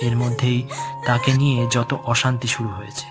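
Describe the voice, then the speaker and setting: A man's voice: medium pitch, flat delivery, normal speed. A man narrating a horror story in a dramatic, scripted storytelling style. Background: music.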